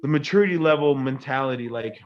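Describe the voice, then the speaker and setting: A man's voice: medium pitch, fast, crowd noise. Guest, male, late 20s, chatty storyteller, slightly dull recording. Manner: animated storytelling, frequent "you know what I mean". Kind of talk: casual chat.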